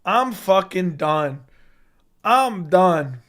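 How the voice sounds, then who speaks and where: A young man hosting a casual fight-sports livestream chat, hoarse from losing his voice, excitable and quick to swear as he reacts to news. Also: sits high. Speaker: MMA YouTube host.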